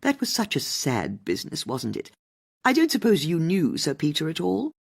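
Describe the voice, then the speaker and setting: A woman plays an older English lady detective in an audiobook mystery. She is polite and chatty with a slightly husky edge and very animated pitch, probing shrewdly while sounding casual. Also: moderate pace, full character voice.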